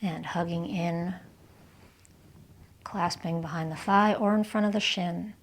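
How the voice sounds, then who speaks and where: A woman leading a guided yoga and relaxation session as the instructor, speaking in a gentle, calm, soothing way with breathy cues. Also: lowish voice, a little slow. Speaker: yoga instructor and channel host.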